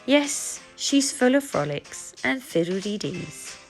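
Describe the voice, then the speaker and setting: A woman storyteller reading a rhyming children's picture book aloud with expressive narration. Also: mid-range voice, takes it slowly, soft background music.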